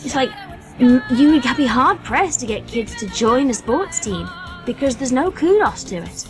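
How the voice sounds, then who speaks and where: Lively British woman, wry and articulate. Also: high voice, normal speed, faint music behind. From United Kingdom. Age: 30s. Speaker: guest, voice actress and high school teacher. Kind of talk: casual podcast interview.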